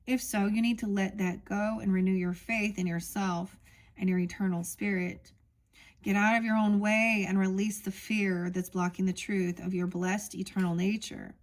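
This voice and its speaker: A woman with a medium-pitched voice leads an oracle card reading. She muses aloud in a loose, meandering way, drifting between her own thoughts and the text she reads off the cards. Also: steady tone, normal speed. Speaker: tarot reader.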